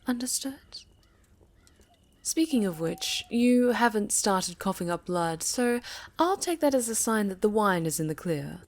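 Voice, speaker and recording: A woman playing a haughty, sinister necromancer villainess in a soft-spoken ASMR roleplay, silky, condescending and mockingly sweet, often sinking to a whisper. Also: mid-range voice, animated. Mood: threatening, condescending. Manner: condescending, silky, menacing, drawn-out.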